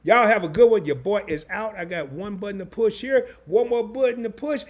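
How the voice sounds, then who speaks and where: A hoarse man hosting a live stream, chatting loosely and in slang straight to his viewers. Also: sits high, brisk. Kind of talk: casual live stream chat.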